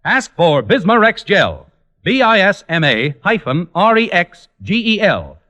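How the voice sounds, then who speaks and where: A man's voice: high voice, sing-song, a little slow, sharp tone. A man announcing on 1950s radio, reading credits and commercials in an emphatic, polished, formal style. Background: music.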